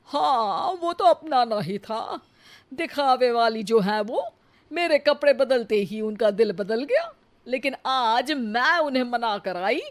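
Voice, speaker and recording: A woman's voice: fairly high pitch, expressive, slow. A woman voicing a simple, proud middle-aged mother-in-law in a cartoon moral story, homely, plain-spoken and emphatic.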